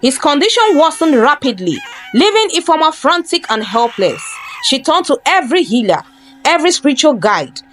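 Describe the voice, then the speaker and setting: A woman's voice: high-pitched, big pitch swings, moderate pace, a bit bright. A woman storyteller giving a scripted, dramatic narration of a folktale. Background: faint music.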